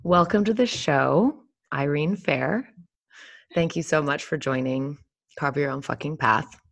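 A woman's voice: low-pitched, a little slow, gravelly, lo-fi sound. A young woman hosting an interview podcast, enthusiastic and swearing casually as she introduces her guest. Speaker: podcast host.

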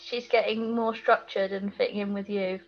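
A woman's voice: medium pitch, level tone, moderate pace, muffled recording. A British woman co-hosting in casual banter, with a playful, teasing manner. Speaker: podcast co-host. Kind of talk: casual banter, reacting to an episode recap.

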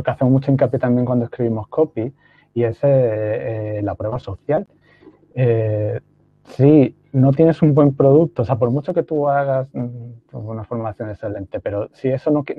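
A man's voice: mid-range voice, husky, no echo. Male guest over a video call, tinny dry line, hesitant explainer, lots of fillers. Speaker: guest, online course copywriter. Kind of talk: casual conversational interview.

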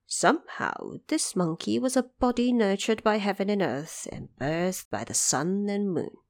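A woman plays an old heavenly messenger in a fantasy tale. He is formal and diplomatic, choosing his words with measured care, and his intonation rises and falls expressively. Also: medium pitch, lively intonation. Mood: reverent, persuasive. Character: dignified old male celestial envoy, diplomatic and reverent.

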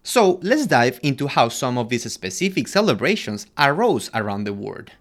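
A man from Ecuador co-hosting a podcast, reading a scripted history segment in an expressive way. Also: normal speed. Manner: animated, expressive delivery with wide pitch swings. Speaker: podcast co-host.

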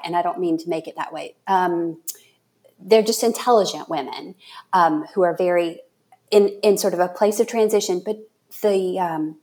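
A woman's voice: mid-range voice, a little mellow. A woman hosting a podcast in a casual, effusive way, feeling around for the right words and correcting herself as she goes. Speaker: podcast host. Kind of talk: casual interview chat.

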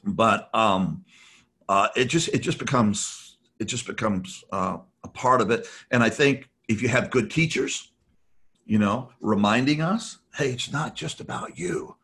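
A man's voice: fairly low pitch, a little slow, a bit bright. A man talking in a reflective, rambling way, with many fillers and restarts, as a podcast guest. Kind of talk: reflective conversational talk.

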